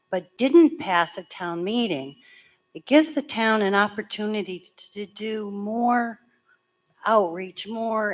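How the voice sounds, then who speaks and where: A woman town clerk with a hoarse voice, chattily explaining voting procedure step by step at a public meeting. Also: medium pitch, low-quality recording. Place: school meeting room.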